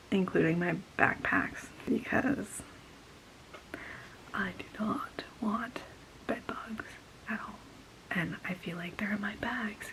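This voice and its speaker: A young woman talks in a hushed whisper, rambling and exasperated, full of "like" and quick asides. Her voice carries a gravelly fry, and faint hiss sits under the recording. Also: mid-range voice, rough recording. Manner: exasperated, gossipy, filler-heavy with frequent little laughs. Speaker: vlogger. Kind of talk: whispered casual vlog rant.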